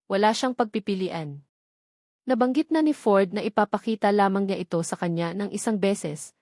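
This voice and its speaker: A woman's voice: medium pitch, a little mellow, no room sound. Filipino woman narrating a novel, dry sound. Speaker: audiobook narrator.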